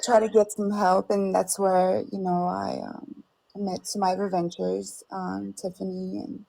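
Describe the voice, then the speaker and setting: A young woman from Ukraine, a podcast guest telling her own story in a halting, hesitant, soft-spoken way over a remote call. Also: mid-range voice, flat delivery, gravelly, low-quality recording. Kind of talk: personal testimony.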